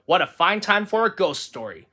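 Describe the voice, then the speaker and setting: A man voicing a calm, patient frog in a read-aloud storybook, gentle and encouraging. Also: sits high, normal speed, full character voice.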